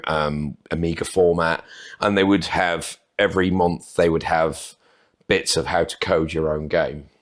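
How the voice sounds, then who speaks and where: A man in his forties from North Wales, talking unhurriedly and a little self-deprecatingly as a podcast guest. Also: low voice. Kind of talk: casual interview chat.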